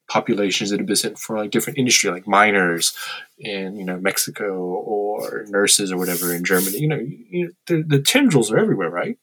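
A man with a low, slightly husky voice talks in a relaxed interview. He is reflective and wry, and turns blunt now and then. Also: low-quality recording. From Korea. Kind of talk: candid conversational interview. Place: lives in Tokyo.

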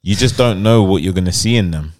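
London guy podcast host, low voice, slangy and opinionated, just chatting. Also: a little fast, darkish tone. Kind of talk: casual podcast banter.

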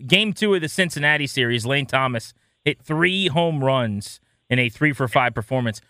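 A man's voice: mid-range voice, lively intonation, moderate pace, pushed and bright, dry recording, clean studio sound. A man hosting a sports talk show, presenting segments and interviewing guests in an upbeat radio style.

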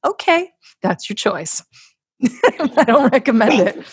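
A woman's voice: fairly high pitch, big pitch swings, takes it slowly, breathy edge, no echo, studio quality. A woman speaking as a podcast guest, giving conversational motivational advice with acted-out examples in an emphatic, blunt, casually sweary manner. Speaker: podcast guest, author and coach.

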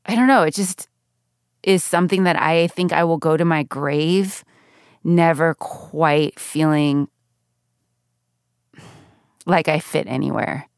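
A low-pitched middle-aged woman with a gravelly edge that still sounds smooth and resonant. She talks candidly and reflectively as an interview guest, laughing easily. She is close to a studio mic in a dry room. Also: no echo. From United States. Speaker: guest, radio journalist. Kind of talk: conversational interview.

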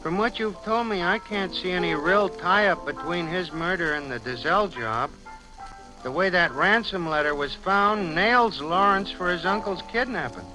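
A man's voice: high voice, normal speed, natural voice, rough recording. An American man playing a plain-spoken, skeptical tough guy in an old radio crime drama. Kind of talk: 1950 radio acting. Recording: old 1950 radio recording with music.

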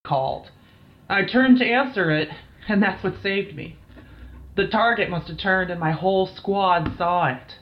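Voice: medium pitch, measured pace, slight background noise, lightly acted. Actor doing weary sweary army captain in an audio play, shaken, blunt, bit lo-fi. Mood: uneasy, grim. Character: shaken, foul-mouthed military retrieval team captain. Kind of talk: dramatised incident interview, audio drama acting.

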